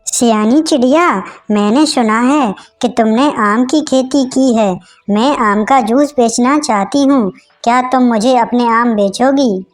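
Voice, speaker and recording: A woman's voice: a little high, measured pace, few pauses, faint music behind, close to an everyday voice. A woman voicing an assertive female eagle in a cartoon moral story, with a direct, commanding manner. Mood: businesslike. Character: assertive female eagle, a businesslike mango-juice seller.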